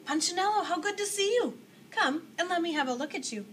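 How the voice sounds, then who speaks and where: A woman voicing a kindly, big bearded male woodcarver in a storybook, speaking with gentle, patient reassurance. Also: very high-pitched, expressive, fairly quick, a little hiss or hum, pitched above the performer's own voice. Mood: welcoming.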